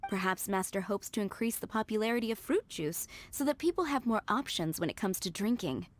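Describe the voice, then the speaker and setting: Woman playing devoted winery maid, medium-pitched, courteous, poised, measured delivery, video game dialogue, soft music behind. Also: animated, lightly acted. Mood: polite, explanatory. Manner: polite, measured, deferential.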